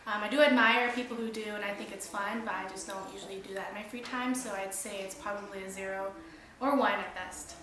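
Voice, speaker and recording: A woman's voice: mid-range voice, fairly even intonation, a little fast, bright, forceful tone, heavy reverb, rough recording. A woman in her twenties answering interview questions in a casual, slightly hesitant way. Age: 20s.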